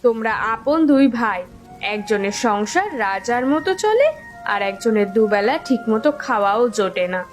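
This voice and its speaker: A woman's voice: fairly high pitch, big pitch swings, moderate pace, over music. A woman voicing a stubborn, resourceful young village wife in a cartoon, speaking insistently and defiantly. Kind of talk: Bengali village cartoon dubbing.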